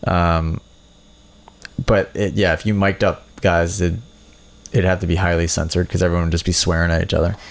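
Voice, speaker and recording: Man, lowish voice, casual chat, motocross coach, laughing storyteller. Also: normal speed, creaky. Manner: relaxed storytelling, laughs while telling. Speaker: motocross rider and riding coach.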